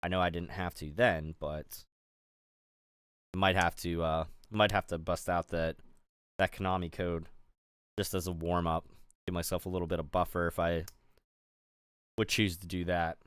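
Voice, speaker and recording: A middle-aged man from the Pittsburgh area chats loosely on a stream. His low voice is smooth and resonant, and the sound is close and dry. He rambles, restarts and fills pauses as he goes. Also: level tone, fairly bright tone, no room sound. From Pittsburgh area, USA. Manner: rambling, chatty, many fillers and restarts. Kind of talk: casual chat while building a toy set.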